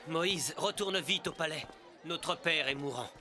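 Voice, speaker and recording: An actor playing a scheming, jealous rival prince, a smug and cold villain in a dubbed animated film. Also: male voice, fairly high pitch, unhurried, close to an everyday voice. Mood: cold, sly. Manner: menacing, smug.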